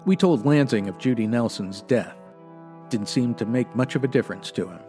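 A man playing a stoic, matter-of-fact 1950s police detective, with clipped, monotone delivery in old-time radio style. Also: medium pitch, moderate pace, faint music behind, close to an everyday voice. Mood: deadpan.

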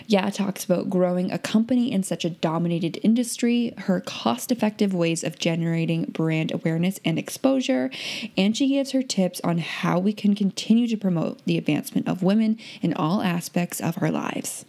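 A woman's voice: on the low side, moderate pace, gravelly, warm tone. A woman hosting a podcast interview, introducing her guest and asking questions in an upbeat, encouraging, conversational way. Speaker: podcast host.

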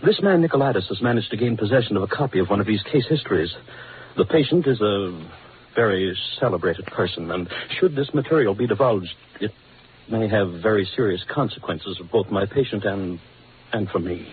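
An actor playing an anxious, educated psychoanalyst, hesitant and formal, in 1940s radio drama style. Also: male voice, lowish voice, animated, a little fast, natural voice. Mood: agitated. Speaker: psychoanalyst client. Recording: muffled noisy old radio recording.

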